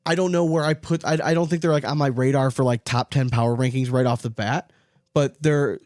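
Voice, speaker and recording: A man's voice: mid-range voice, fairly even intonation, a little fast, darkish tone, no room sound, clean studio sound. A man co-hosting a podcast in casual sports chat, rambling and repeating phrases for emphasis. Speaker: podcast co-host.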